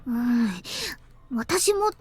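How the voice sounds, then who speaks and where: A woman's voice: on the high side, lively intonation, slow, a little mellow, breathy edge, heavily acted. A woman voicing an anxious, self-conscious teenage girl in a visual novel, hesitant and stammering as she talks to herself. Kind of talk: Japanese visual novel voice acting.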